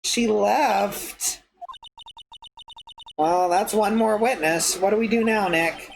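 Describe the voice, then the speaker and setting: A woman's voice: medium pitch, slow pace, vocal fry, low-quality recording. A woman voicing an eager, curious young woman sidekick in a read-through of a mystery game. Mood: eager, pleading.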